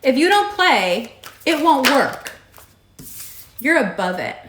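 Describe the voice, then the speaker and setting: A woman with a raspy voice giving a monthly horoscope reading, direct and emphatic, repeating her advice. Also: high voice, sing-song, takes it slowly, background noise. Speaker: astrology reader. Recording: echoey room.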